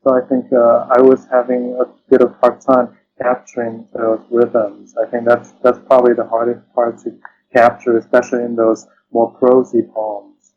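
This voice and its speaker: A man's voice: medium pitch, flat delivery, normal speed, a little mellow, highs cut off, a little hiss or hum. A man speaking as an interview guest and translator, thoughtful and hesitant, often rephrasing as he reflects.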